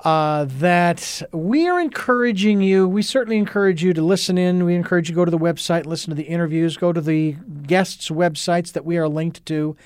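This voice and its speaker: A man's voice: on the high side, fairly quick, hardly any pauses, clean tone, no echo, studio quality. A man hosting a radio talk show, rambling with lots of ums and uhs in an earnest way. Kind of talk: casual talk radio chat.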